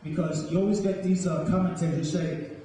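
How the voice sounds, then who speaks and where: A man speaking as a poet and storyteller to a live audience, with a deliberate, dramatic delivery. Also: fairly high pitch, fairly even intonation, warm tone. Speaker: spoken word poet and storyteller. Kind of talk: dramatic poetry reading and talk to a live audience. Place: large echoing room with a live audience. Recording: echoey hall, distant mic, faint noise.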